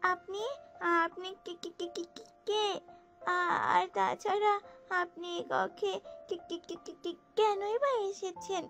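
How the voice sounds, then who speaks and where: A woman voicing a sorrowful young fairy girl in a cartoon, plaintive and halting, with a heavy stutter. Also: very high-pitched, fairly even intonation, fairly bright tone, soft background music, full character voice. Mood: frightened, pleading. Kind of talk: cartoon voice acting.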